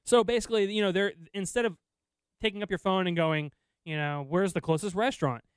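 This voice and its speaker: A man's voice: sits high, a little fast, clean tone, no room sound. A man hosting a casual tech news podcast, chatty and easy, with lots of fillers and laughter. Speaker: podcast host reading news items.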